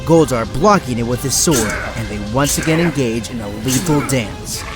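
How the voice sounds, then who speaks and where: Male storyteller, mid pitch, dramatic action narration, very loud orchestral music behind. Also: expressive, moderate pace.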